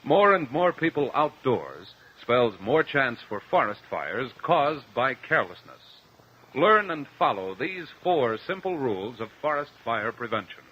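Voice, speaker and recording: An American man narrating as a mid-century radio announcer, reading a script with deliberate, dramatic emphasis. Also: medium pitch, sing-song, slight background noise. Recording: dull, lo-fi old radio recording.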